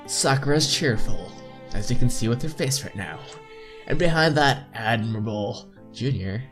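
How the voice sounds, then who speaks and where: A man's voice: mid-range voice, lively intonation, normal speed, a bit bright. Young man, mid-range voice, casual gameplay host, reading aloud, slight stumbles, chatty asides, faint game music. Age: young adult. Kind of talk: casual visual novel playthrough, reading text aloud with asides.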